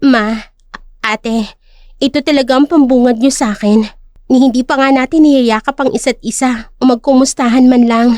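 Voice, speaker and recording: A woman playing a humble, long-neglected younger sister in an audio drama, earnest, pleading and soft-hearted. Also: sits high, fairly even intonation, normal speed, no room sound, studio quality, natural voice. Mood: hurt, longing.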